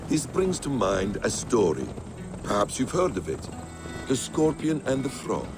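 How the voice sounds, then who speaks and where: An actor playing a guarded, cunning mentor warrior in a video game, speaking in a measured, cryptic storyteller's manner. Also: male voice, medium pitch, huge pitch swings, over music. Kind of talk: dramatic video game acting.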